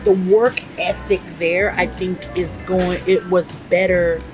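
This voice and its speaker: A woman's voice: on the low side, steady tone, unhurried, over music. A woman entrepreneur telling her stories with energy and confidence in a casual interview. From United States. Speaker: guest entrepreneur.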